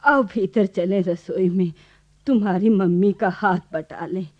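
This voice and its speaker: Casting: actress as good-natured elderly rural grandma, radio play; slow, homely delivery, muffled lo-fi recording. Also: female voice, mid-range voice, natural voice. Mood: affectionate, weary. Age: older. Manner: homely, affectionate, mildly protesting. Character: simple, good-natured village grandmother devoted to card games. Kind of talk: Hindi radio play acting. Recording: dull lo-fi archival radio recording.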